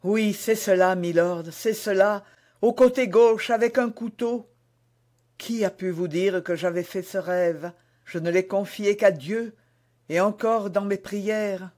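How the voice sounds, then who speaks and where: A man's voice: high-pitched, fairly even intonation, unhurried, a little mellow. A man voicing an anguished, dignified queen torn by forbidden love, agitated and imploring, in a historical romance audiobook. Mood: shaken.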